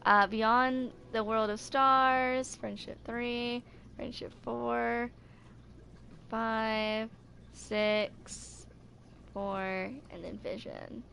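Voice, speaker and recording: A woman in her twenties reading game text aloud in a halting, amateur way with casual asides. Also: fairly high pitch, steady tone, gravelly, bright, forceful tone, slight background noise. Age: 20s. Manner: halting, stumbles over words. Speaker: amateur gaming video creator.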